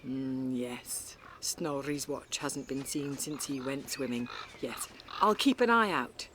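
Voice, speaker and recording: An actress playing a weary, wry woman cafe owner, telling her story in an audiobook with an expressive, breathy, conversational delivery. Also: very deep voice, slow, a little hiss or hum, full character voice. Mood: exasperated. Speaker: audiobook narrator. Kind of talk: first-person dramatic audiobook narration.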